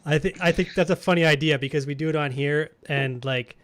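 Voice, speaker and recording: A man's voice: fairly high pitch, fairly quick, a bit bright. A Canadian man co-hosting a marketing podcast, chatting casually and thinking aloud, with frequent restarts and chuckles. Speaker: podcast co-host.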